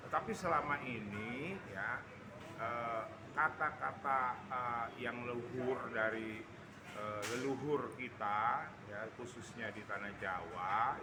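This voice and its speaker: A man from Java, explaining his views conversationally as an interview guest, often checking that the listener follows. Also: mid-range voice. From Java, Indonesia. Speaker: interview guest giving political opinion. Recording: roomy, echoey sound with background noise.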